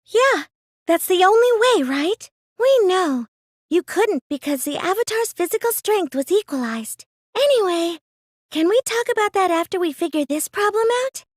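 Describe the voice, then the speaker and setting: A woman's voice: extremely high pitch, lively intonation, moderate pace, no room sound, clean studio sound. A woman playing a timid, earnest, nerdy teenage girl in an English video game dub, hesitant and prone to stammering when flustered. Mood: thoughtful, uneasy.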